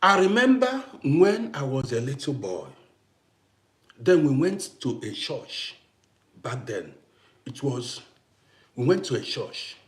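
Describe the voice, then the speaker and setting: A man's voice: medium pitch, very animated, slow pace, pauses often, echoey room. A middle-aged man from Congo hosting a casual live stream, talking emphatically, repeating himself and greeting viewers by name. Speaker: TikTok live host.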